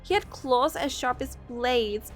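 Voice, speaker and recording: A woman's voice: sits high, takes it slowly, faint music behind. Woman playing a shrieking, melodramatic young lady-in-waiting. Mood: dramatic dread. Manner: melodramatic, wailing. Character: frantic young lady-in-waiting faking terror over an abduction.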